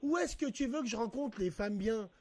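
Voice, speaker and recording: Animated high-voiced man giving advice. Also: brisk, no echo. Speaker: host. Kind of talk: casual debate over a phone call.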